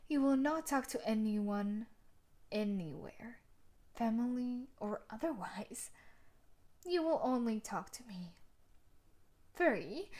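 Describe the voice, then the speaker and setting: A young woman playing a sadistic, possessive female yandere bully in a roleplay audio drama, with a taunting, domineering manner. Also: on the high side. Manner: taunting, domineering, with breathy sighs and soft laughs. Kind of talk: roleplay audio drama monologue addressed to the listener.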